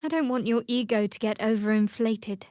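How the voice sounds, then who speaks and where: A woman's voice: a little high, normal speed, dark tone, dry recording, close to an everyday voice. A woman playing a guarded, sardonic young female cleric in a video game, with a dry, aloof, clipped delivery. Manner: dry, aloof, clipped sarcasm with earnest undertones. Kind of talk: video game character voice acting.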